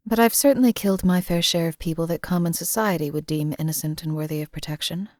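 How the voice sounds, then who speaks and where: A woman voicing a cold-blooded female assassin companion in a game, flat, clipped and detached. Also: on the low side, quick pace, no echo, clean studio sound, natural voice.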